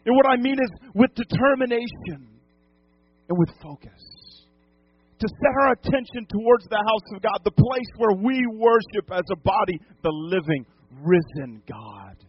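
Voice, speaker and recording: A man's voice: sits high, very animated, normal speed, breathy edge, dull audio, faint music behind. A man from New England preaching a sermon as pastor, emphatic, repeating phrases and putting questions to the congregation. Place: church sanctuary.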